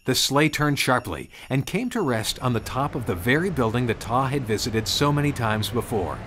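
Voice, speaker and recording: A man's voice: medium pitch, lively intonation, moderate pace, a bit bright, a little hiss or hum. A man reading a scripted children's storybook narration. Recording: music behind the voice. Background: background music.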